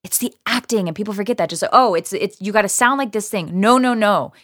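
A woman voice actor in a casual panel chat, talking in an animated, emphatic way. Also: medium pitch, quick pace, no room sound, studio quality.

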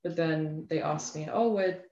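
A young woman with an English accent speaking reflectively in an interview, pausing and restarting as she thinks, with frequent filler phrases. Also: low voice, a little mellow, a little hiss or hum. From England. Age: young adult. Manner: thoughtful, halting, frequent "you know" and "like". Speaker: interview guest, self-love advocate. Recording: roomy echo, slightly dull lo-fi sound.

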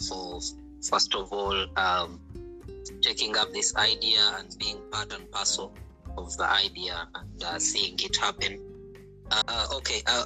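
A man's voice: lowish voice, rough recording. A man hosting an online panel over call audio, polite and hesitant with frequent ums. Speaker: host, panel moderator.

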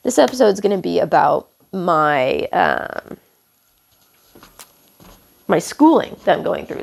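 A young woman hosting a podcast, hoarse and stuffed up from illness, rambling casually with lots of fillers and apologies while walking around her house on a headphone mic. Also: lowish voice. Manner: meandering, many fillers and apologies, casual swearing. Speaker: podcast host. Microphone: headphone mic into phone.

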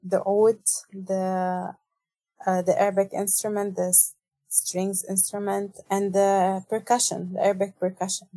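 A woman singer from Syria, speaking in a measured, soft-spoken way with small hesitations. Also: medium pitch, monotone, dry recording. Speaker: singer, band guest. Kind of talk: casual interview chat.

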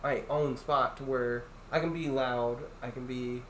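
Loud young man in an echoey room. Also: steady tone, a little slow. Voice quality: loud deep voice that carries. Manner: rambling, many restarts and fillers. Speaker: podcast host. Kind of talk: casual chat. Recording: echoey room, faint ambient noise.

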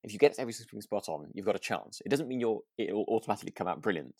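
A British man co-hosting a podcast in casual chat, tentatively softening his opinions with little asides. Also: on the low side, expressive, rapid-fire, husky, dry recording, clean studio sound. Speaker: podcast co-host, actor.

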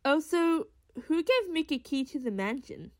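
An actress playing a chatty, matter-of-fact team member in an audio drama, casual and explanatory. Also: female voice, high voice, sing-song, moderate pace, no echo, clean studio sound.

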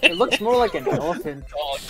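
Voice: mid-range voice, sing-song, a little fast. A person chatting casually and sounding baffled and incredulous while playing a party game. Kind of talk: casual chat, reacting to a drawing and caption game. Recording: music loud behind the voice. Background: loud music.

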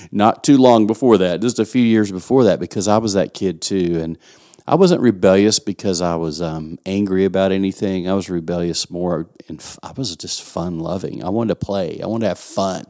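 A man's voice: deep voice, normal speed, warm tone. A middle-aged man with a West Texas accent, a pastor co-hosting a podcast in joking, playful casual chat. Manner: jokey, playful banter.

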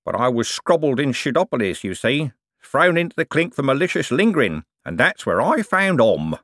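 A man's voice: mid-range voice, very animated, normal speed, a bit bright, no room sound, studio quality, voice pushed up. A man voicing an old con man posing as a repentant preacher, solemn and drawn out, with mushy false-toothed speech. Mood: pious wheedling.

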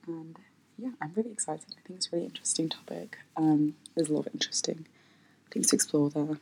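A woman's voice: low-pitched, quick pace, dark tone, slight background noise, rough recording. A woman hosting her own podcast in an unscripted, hesitant chat, with lots of ums and light laughs. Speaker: podcast host.

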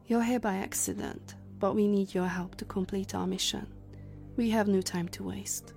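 Sci-fi audio drama: actress as female time-traveler leader, mellow, measured, in command, light music bed. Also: mid-range voice, fairly even intonation, vocal fry, lightly acted. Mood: grave, explaining. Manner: measured, authoritative, explanatory. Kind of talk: science fiction audio drama acting.

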